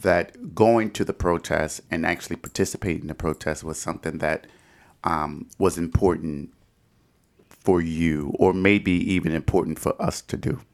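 A man's voice: low-pitched, normal speed, creaky. A middle-aged American man from Detroit hosting a conversational podcast discussion and asking questions. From Detroit, United States. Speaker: podcast host.

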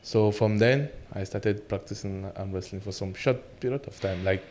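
A man, answering interview questions in halting, simple English with many fillers. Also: lowish voice, darkish tone, slight background noise. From Cameroon. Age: 20s. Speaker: guest arm wrestler.

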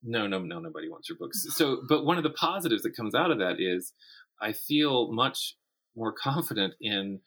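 A man's voice: on the low side, big pitch swings, moderate pace, fairly bright tone, breathy edge. A man talking casually as an interview guest, a writer who tells his stories by acting out imagined remarks. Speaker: guest author and playwright. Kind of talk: casual interview chat about writing.

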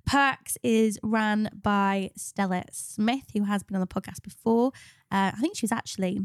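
A woman's voice: medium pitch, moderate pace, clean tone, dry recording, clean studio sound. A woman co-hosting a podcast in an informal, chatty, jokey way, sounding bunged up with a cold. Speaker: podcast co-host, business psychologist.

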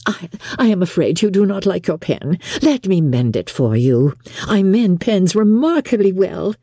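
A woman's voice: lowish voice, big pitch swings, unhurried, mellow, husky, no echo, studio quality, voice pushed up, exaggerated voice. A woman voicing a fawning, affectedly sweet young society lady in an audiobook, cooing and gushing. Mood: gushing flattery. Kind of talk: audiobook character acting.

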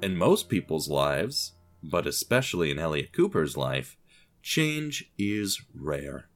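A man reading scripted story narration with a dry, wry, understated manner. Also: on the low side.

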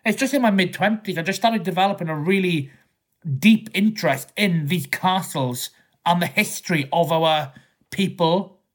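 A man's voice: high voice, fairly bright tone. A man from South West Wales in his thirties with a Welsh accent, answering interview questions as a historian in a thoughtful, rambling way with small restarts. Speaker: historian guest. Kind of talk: conversational interview.

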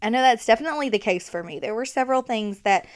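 A woman's voice: on the high side, expressive, fast talker. A woman with a thick Southern accent hosting her own podcast, chatting casually with fillers and laughter into a new microphone. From Alabama. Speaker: podcast host.

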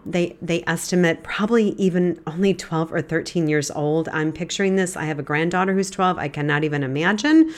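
Low-voiced congested woman teaching Bible. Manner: earnest, gentle, throat-clearing. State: congested, stuffy nose. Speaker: podcast host. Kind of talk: devotional Bible teaching podcast.

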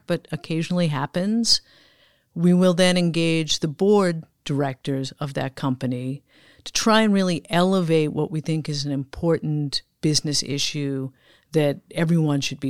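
A woman giving measured, businesslike explanations as an executive guest in a podcast interview. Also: sits low, smooth, no room sound, clean studio sound. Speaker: podcast guest, chief investment officer.

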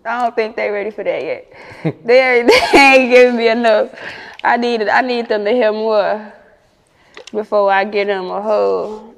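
A woman's voice: mid-range voice, normal speed, vocal fry, in a large hall. A woman with a Chicago accent chatting casually in an interview as a rapper, with slangy, sweary talk. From Chicago, United States.

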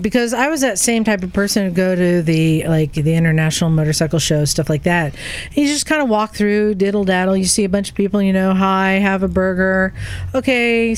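A woman with a very clean, smooth voice of medium pitch hosts a casual podcast. She chats conversationally, asking questions and drifting into little spoken sketches as she explains. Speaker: podcast host. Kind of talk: casual chat interviewing a caller.

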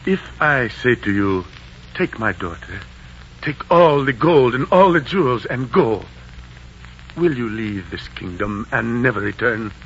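An actor playing a proud, sorrowful captive Aztec emperor, speaking formally in a 1940s radio drama. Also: male voice, mid-range voice, expressive, unhurried, a bit bright. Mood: resigned, solemn. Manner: formal, declamatory. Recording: noisy, dull 1940s radio recording.

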